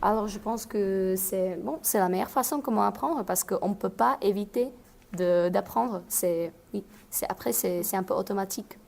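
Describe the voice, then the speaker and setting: A woman student giving advice in an interview, in measured, slightly non-native phrasing. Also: medium pitch, creaky, a little mellow. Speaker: student guest.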